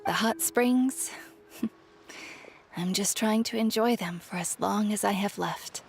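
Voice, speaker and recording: A woman voicing an ailing veteran warrior at peace with death, speaking in a gentle, reflective, sighing way in a dramatic video game scene. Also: medium pitch, slow pace, vocal fry. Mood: wistful confession. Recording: music behind the voice. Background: game music.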